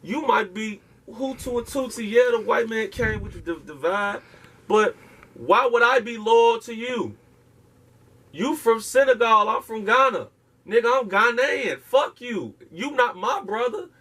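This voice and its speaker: A man's voice: high voice, a bit bright. A man speaking, lecturing emphatically and profanely as an interview guest, firing off rhetorical questions. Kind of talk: impassioned casual interview talk.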